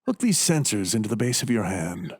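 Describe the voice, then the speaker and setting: A man's voice: fairly low pitch, very animated, a little fast, darkish tone, husky. A man playing a scientist doctor in a radio drama, giving instructions in a reassuring, take-charge manner. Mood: calm, directing. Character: male doctor and scientist, calmly taking charge.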